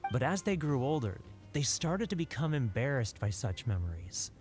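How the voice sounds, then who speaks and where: A man's voice: mid-range voice, big pitch swings, moderate pace, a little mellow, faint music behind, natural voice. A man voicing a self-assured storyteller fond of old tales, with a grandiose manner, in an anime-style video game. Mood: knowing. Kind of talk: scripted anime game dialogue.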